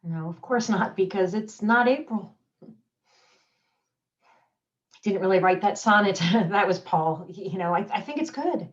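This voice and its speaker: A woman acting out a stage monologue as a desperate wife clinging to her husband, with deadpan wit and nervous laughs. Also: mid-range voice, unhurried, long pauses, dull audio, close to an everyday voice. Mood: bittersweet. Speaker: community theater actress.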